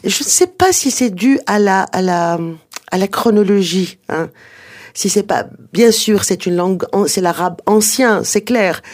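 Woman, native Arabic speaker, interview guest, explanatory, hesitant, frequent restarts, mid pitch. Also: measured pace, darkish tone. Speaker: author and guest. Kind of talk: radio interview.

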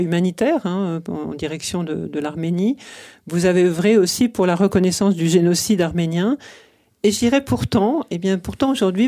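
A man's voice: high voice, mellow. A man hosting a faith radio show, interviewing a guest with short affirming interjections. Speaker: radio host.

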